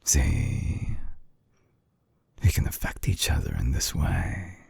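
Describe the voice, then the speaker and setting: A man playing an obsessive, coolly clinical mad scientist in an intimate binaural audio roleplay, speaking slowly in a hushed, breathy near-whisper with long pauses. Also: extremely low pitch, very animated, no echo, full character voice. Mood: teasing, commanding. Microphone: close binaural microphone.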